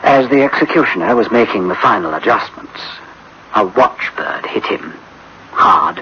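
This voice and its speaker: A man's voice: on the low side, lively intonation, normal speed. A man playing a troubled, conscientious chief of a futuristic project in a dramatic, brooding radio drama style. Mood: grim. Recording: dull, noisy old radio recording.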